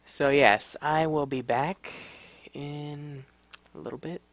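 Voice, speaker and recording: A man giving casual, offhand gameplay commentary in a halting way. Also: fairly high pitch, fairly even intonation, a bit bright, dry recording. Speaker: gamer. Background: video game audio.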